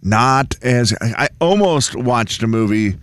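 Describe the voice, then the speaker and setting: A man's voice: mid-range voice, expressive, fairly bright tone, smooth, dry recording. A man hosting a morning radio show, chatting casually and teasing his co-hosts. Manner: playful, teasing, quick asides.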